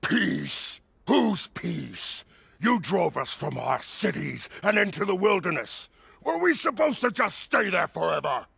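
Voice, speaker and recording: An actor playing a boastful, aggrieved male goblin chieftain in a video game, theatrical and blustering with a gravelly voice. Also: sits high, sing-song, measured pace, no echo, full character voice. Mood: aggrieved, defiant. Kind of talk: video game cutscene acting. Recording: loud music behind.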